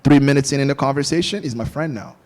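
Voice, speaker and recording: A man's voice: mid-range voice, fast talker. Young man from Angola, storytelling. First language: Portuguese. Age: young adult. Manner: storytelling, animated quoting of others. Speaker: podcast guest. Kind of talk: casual panel discussion.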